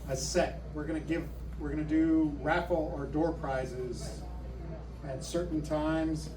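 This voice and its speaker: Halting man reporting at a club meeting. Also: fairly high pitch, fairly even intonation, background noise. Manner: halting, many ums and restarts. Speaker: meeting presenter. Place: meeting hall. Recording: echoey room, far from the mic.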